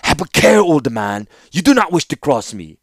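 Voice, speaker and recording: A man's voice: mid-range voice, normal speed, gravelly, a little mellow, no echo, clean studio sound, pitched above the performer's own voice, close to an everyday voice. Man acting a proud, hot-headed young desert ruler. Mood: cold threat. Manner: clipped, sneering, threatening.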